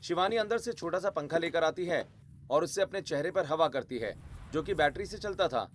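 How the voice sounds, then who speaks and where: A man's voice: a little high, animated, moderate pace. A man giving scripted narration of a family story as the narrator.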